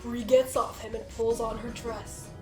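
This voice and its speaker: High-pitched woman narrating, echoey room. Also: little pitch movement, measured pace, mellow. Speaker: narrator of a group dramatic reading. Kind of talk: amused amateur narration of a comic story. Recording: roomy echo, faint music behind.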